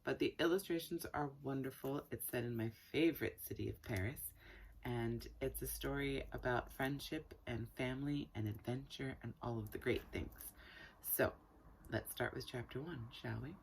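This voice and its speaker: A woman with a husky voice reading a children's story aloud and chatting to viewers, with expressive, deliberate phrasing. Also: very deep voice, slight background noise, rough recording.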